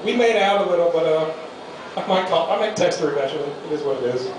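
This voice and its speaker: A young American man talks his way through a stand-up comedy set in a small venue, loose and rambling. He sounds far from the mic, and the room echoes heavily. There is a steady hum of room noise behind him. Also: on the high side, quick pace. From United States. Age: young adult. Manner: loose rambling delivery with fillers. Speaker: amateur stand-up comic.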